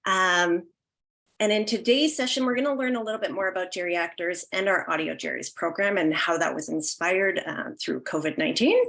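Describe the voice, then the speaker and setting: A woman's voice: fairly low pitch, fairly quick, vocal fry, a bit bright. A woman with a Canadian accent giving an informal online presentation as a theatre director, in a friendly, welcoming way. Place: indoors, online video call.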